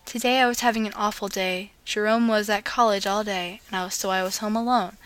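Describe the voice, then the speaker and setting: Bright-voiced woman reading fan fiction aloud. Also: medium pitch, brisk. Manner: expressive, often stumbling over words and restarting; gushing asides. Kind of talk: casual amateur read-aloud of romantic fan fiction.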